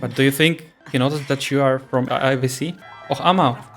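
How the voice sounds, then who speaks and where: A man's voice: medium pitch, fairly even intonation, a little fast, fairly bright tone. A man giving casual gameplay reaction commentary, full of blunt, questioning asides. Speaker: streamer reacting to game story. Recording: faint background music.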